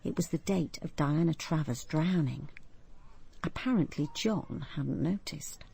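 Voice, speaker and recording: An actress playing a composed, observant police detective who narrates and questions with measured restraint in a radio drama. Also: female voice, deep voice, gravelly, warm tone, breathy edge, heavily acted.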